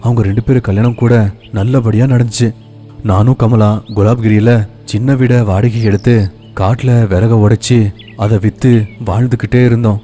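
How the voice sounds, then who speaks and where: A man playing a poor, regretful young husband who tells his tale in a measured, earnest way for an animated bedtime story. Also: mid-range voice, faint music behind, lightly acted.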